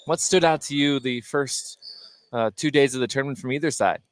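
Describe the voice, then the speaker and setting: A man calling live high school basketball play-by-play as a radio commentator. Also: a little fast. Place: indoor basketball gym during a game. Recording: noisy gym ambience, bouncing basketballs. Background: loud gym ambience, basketball bounces.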